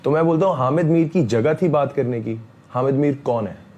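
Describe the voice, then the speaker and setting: A man, speaking emphatically as an interview guest, repeating himself and often pausing on fillers. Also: medium pitch, warm tone, lo-fi sound. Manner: emphatic, repetitive, frequent fillers. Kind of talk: heated interview talk.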